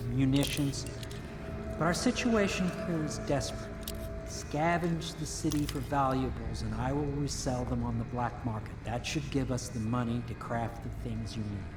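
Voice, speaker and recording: An actor playing a formal, precise and eccentric weapon-making inventor in a video game. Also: male voice, mid-range voice, lightly acted. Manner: formal, exacting. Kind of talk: scripted video game dialogue.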